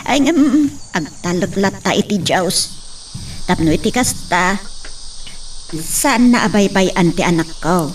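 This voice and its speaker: A woman's voice: medium pitch, lively intonation, takes it slowly. Woman plays anxious middle-aged Ilocano mother; distant mic. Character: worried provincial mother of a grown son. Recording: distant mic with faint noise. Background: crickets and insects.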